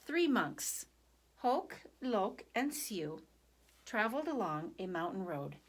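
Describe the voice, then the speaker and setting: A woman narrating a picture book for children at storytime, deliberate and expressive, pausing between phrases. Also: medium pitch.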